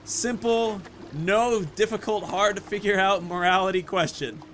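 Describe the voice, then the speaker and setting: Male gaming host, higher-pitched and bright, casual think-aloud play commentary over music. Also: a little slow, slight background noise. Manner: thinks aloud, narrating own moves and choices. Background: video game music.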